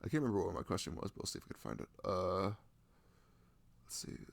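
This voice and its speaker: Animated young man with a low, creaky voice. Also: fast, no echo. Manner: enthusiastic, rambling, slangy. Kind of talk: casual unscripted chat about video games.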